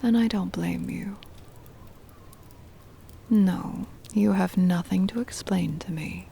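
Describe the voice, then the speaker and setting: A possessive vampire noblewoman, played by a woman, whispers slowly and intimately in an ASMR roleplay. Her voice is soft and mellow, with very heavy creak. She sounds formal and doting, soothing her beloved pet up close over steady background ambience. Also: mid-range voice, slight background noise. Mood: soothing, devoted. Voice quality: breathy whisper with creaky fry.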